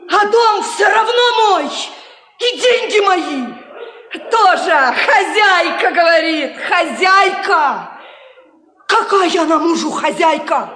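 A woman's voice: very high-pitched, a bit bright, full character voice. Piercing, spiteful young peasant woman. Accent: Russian. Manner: taunting, coarse rustic speech. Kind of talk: 1950s Soviet radio play acting. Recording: echoey 1950s radio recording with music.

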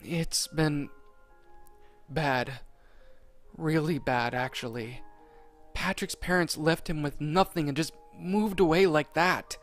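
A man's voice: on the high side, slow, long pauses, a bit bright, faint music behind. A man voicing an anxious, upset young male sea sponge who frets in worked-up outbursts.

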